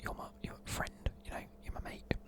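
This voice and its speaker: A man, whispering softly in a chatty, rambling way while explaining words. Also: a little fast. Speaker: ASMR creator. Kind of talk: whispered ASMR explaining slang.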